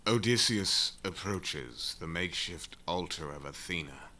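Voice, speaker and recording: A man's voice: deep voice, animated, unhurried, sharp tone, slight background noise. A man narrating an epic audio drama in a solemn, portentous, scripted storytelling style. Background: music.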